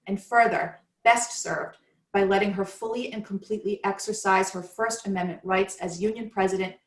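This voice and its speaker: Woman reading a ruling aloud, roomy. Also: mid-range voice. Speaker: union staff reading a court opinion aloud. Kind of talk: dramatic reading of a legal ruling.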